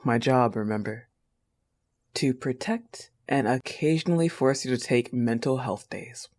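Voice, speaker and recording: A man voicing a possessive but tender young goth wolf girlfriend, soft-spoken, in an intimate audio roleplay. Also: mid-range voice, measured pace, natural voice. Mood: relieved, playful.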